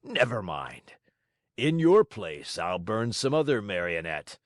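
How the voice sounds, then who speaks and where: A man doing a hoarse, booming old puppet-theater director from a storybook. He swings between grumbling and bellowing, with deep, drawn-out words in a dry, close recording. Hard on the outside, soft at heart. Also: sing-song, no room sound. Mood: gruff. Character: gruff bearded male puppet-show director, hungry, bad-tempered yet softhearted.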